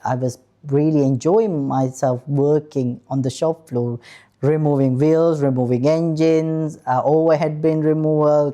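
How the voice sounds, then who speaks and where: A man's voice: on the high side, mellow. A man in his thirties from Sri Lanka, an aeronautical engineer frankly telling his own story straight to the viewer. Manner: earnest, frank, addresses viewer directly. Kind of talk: personal story told to camera.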